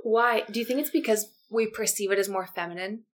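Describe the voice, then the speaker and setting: A woman's voice: medium pitch, brisk. A woman hosting a casual chat in a living room, reflecting on what her guest says and drawing her out. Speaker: host.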